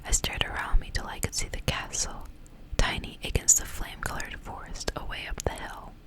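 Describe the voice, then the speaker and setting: A woman reading a story aloud in an ASMR style, whispering softly and without hurry. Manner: whispering, soft and unhurried storytelling. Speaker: ASMR reader.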